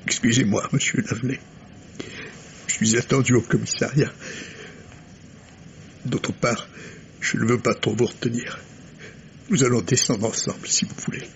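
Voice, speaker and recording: An actor playing a courteous, grieving middle-aged father, measured and formal, in the manner of 1950s French radio drama. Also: mid-range voice, flat delivery, creaky, lightly acted. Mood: polite, sombre. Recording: dull lo-fi old radio, noisy.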